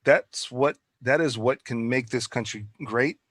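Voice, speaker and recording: A man hosts a casual podcast and thinks aloud, tripping over words as he goes. His voice is mid-pitched and recorded dry and close, with no room sound. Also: measured pace, no echo. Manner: rambling, stumbling, animated. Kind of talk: casual interview talk.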